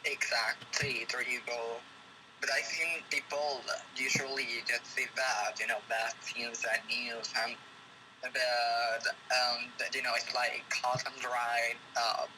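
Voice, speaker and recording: A man from Venezuela talks in English with a Venezuelan accent, earnest and going on at length. His voice stays flat and steady, at an ordinary pitch. A clear hiss of noise sits under the recording. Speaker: guest. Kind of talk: casual conversation. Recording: noisy background hiss.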